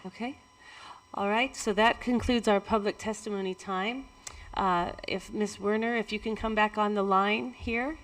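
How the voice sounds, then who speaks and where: A woman mayor chairing a city council meeting, calling speakers and moving through procedure in a measured way. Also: mid-range voice, fairly even intonation, a bit bright, rough recording. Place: council chamber.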